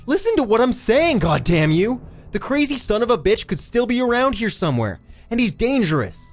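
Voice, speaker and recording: A man's voice: medium pitch, very animated, moderate pace, faint music behind, natural voice. A man voicing an injured, trapped mechanic in an audiobook, desperate, foul-mouthed and strained. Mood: frantic, furious. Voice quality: croaking, strained. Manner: shouting, pleading, exasperated. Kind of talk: dramatised audiobook character.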